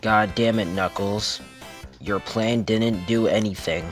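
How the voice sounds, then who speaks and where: A man's voice: lowish voice, steady tone, slow pace, soft background music. A man voicing a stern, irritable, vengeful hedgehog leader in an amateur comic dub, curt and scolding. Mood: fed up.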